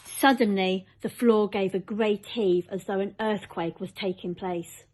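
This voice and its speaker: A woman's voice: medium pitch, steady tone, measured pace, darkish tone, low-quality recording. A woman narrating a children's storybook aloud, as a teacher reading a chapter.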